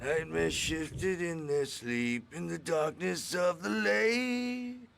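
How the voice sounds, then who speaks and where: An actor playing a rowdy, belligerent, crazy old rock-and-roll man in a video game, with a gravelly voice and blustering, erratic delivery. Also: fairly high pitch, slow, background noise. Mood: bitter. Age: older. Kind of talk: video game character acting.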